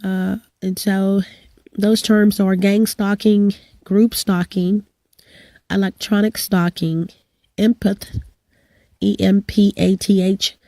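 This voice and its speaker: A hoarse middle-aged woman talking casually to her channel, rambling with fillers and restarts. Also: mid-range voice, little pitch movement, slow pace, dark tone, no echo. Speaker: vlogger. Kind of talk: casual to-camera vlog with announcements.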